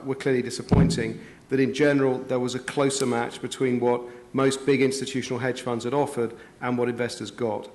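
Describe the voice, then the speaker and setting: A man speaking as a panelist at a finance conference, with a loud voice and a measured, articulate way of talking. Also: medium pitch. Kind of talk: panel discussion.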